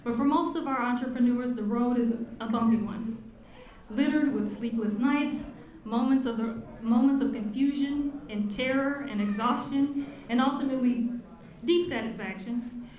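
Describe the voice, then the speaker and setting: A woman delivers a formal, scripted address, her voice sitting a bit high. The sound rings through a large hall with strong echo. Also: normal speed, a little mellow. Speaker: city commissioner introducing speaker. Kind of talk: prepared speech. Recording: echoey hall.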